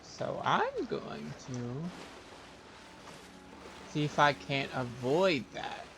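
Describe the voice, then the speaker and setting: A man's voice: fairly high pitch, huge pitch swings, extremely slow, gravelly, noisy background. A man streaming a video game, thinking aloud in casual, offhand commentary. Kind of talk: casual live gameplay commentary.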